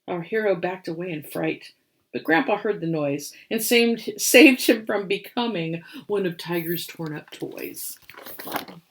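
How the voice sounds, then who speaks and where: A woman giving a dramatic reading of a children's storybook in a theatrical, expressive manner. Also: on the low side, unhurried.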